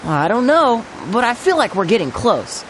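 Lively, skeptical young detective. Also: female voice, a little high, moderate pace, slight background noise. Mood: inquisitive. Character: skeptical, sharp-eyed young detective in kids' gang. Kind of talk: cartoon game voice acting.

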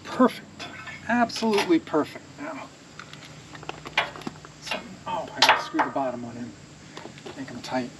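A man's voice: mid-range voice, big pitch swings, normal speed, long pauses. Chatty man fixing a sawmill outdoors. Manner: chatty, laughs easily, talks while working. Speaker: sawmill owner showing repairs. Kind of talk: casual hands-on explaining. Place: outdoors in a wooded yard beside a sawmill. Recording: outdoor recording with clear background noise.

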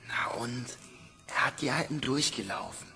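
An actor playing a reassuring, matter-of-fact companion in audio drama dialogue. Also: male voice, medium pitch, normal speed, vocal fry, music in the background, lightly acted. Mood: dismissive. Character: reassuring male companion in a dormitory at night.

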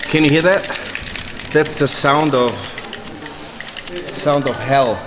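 A man's voice: medium pitch, slow pace, noisy background. Cheerful man in echoey gym. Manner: cheerful, cursing, bantering coach. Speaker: bodybuilder vlog host. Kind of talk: casual gym chat and coaching. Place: large indoor gym.

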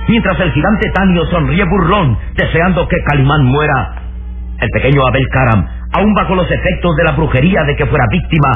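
A man narrating a radio serial in a solemn, suspenseful, emphatic dramatic style. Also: fairly high pitch. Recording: tinny old radio recording, loud music.

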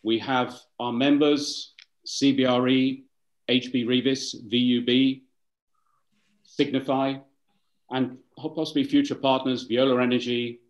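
A man's voice: medium pitch, flat delivery, normal speed, highs cut off. A man moderating a webinar on a video call, giving formal welcomes and introductions, speaking with some fillers and restarts.

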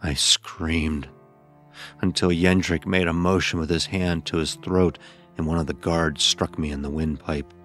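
A man narrating a scripted first-person horror story in a grave, deliberate storytelling manner. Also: low-pitched, fairly even intonation, fairly bright tone, faint music behind.

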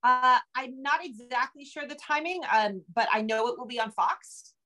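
A woman's voice: high-pitched, moderate pace, sharp tone, poor audio. A middle-aged woman with an American accent chatting casually as an interview guest on a video call, rambling and self-deprecating. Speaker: interview guest, novelist and screenwriter. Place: home room with bookshelves.

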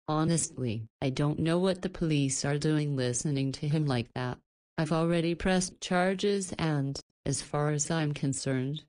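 A woman's voice: low-pitched, a little mellow, natural voice. An actress playing a caring, flirty woman in a visual novel, chiding and teasing like a doting guardian.

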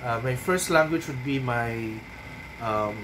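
Filipino man with clear room hum. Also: mid-range voice. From Philippines. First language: Cebuano. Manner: unhurried, thoughtful, frequent ums and tag "no?". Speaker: writing coach. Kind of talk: casual advice talk to camera. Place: small room at home, indoors. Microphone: webcam at desk.

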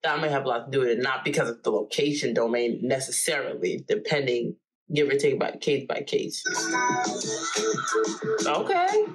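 Young woman, from New Jersey, casual reaction host, animated and chatty, small bedroom, close camera. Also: very deep voice, moderate pace, faint music behind, rough recording. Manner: animated, chatty, hums and sings along.

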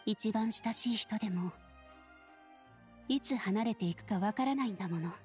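A woman playing a gentle, wistful middle-aged lady in an anime game, speaking softly and tenderly. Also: medium pitch, normal speed, close to an everyday voice. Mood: gentle, melancholy. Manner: soft, tender, reminiscing. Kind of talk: Japanese anime game voice acting. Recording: faint music behind the voice.

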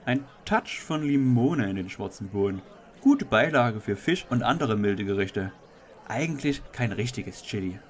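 A man's voice: on the low side, lively intonation, a little slow, some chatter behind. A man playing fussy, pompous chili judges who appraise each dish in a comic story. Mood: appraising. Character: fussy, appreciative male chili connoisseur judges.

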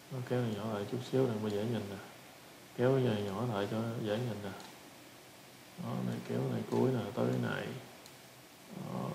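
A man with a Southern Vietnamese accent giving a casual software tutorial, often repeating short phrases as he demonstrates. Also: lowish voice, vocal fry. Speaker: tutorial instructor. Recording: roomy echo with background noise.

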